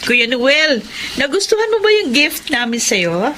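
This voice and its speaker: A woman's voice: high voice, sing-song, unhurried, loud music behind the voice. A woman playing a cheerful, teasing married younger sister who mixes English words into her talk, in a radio drama.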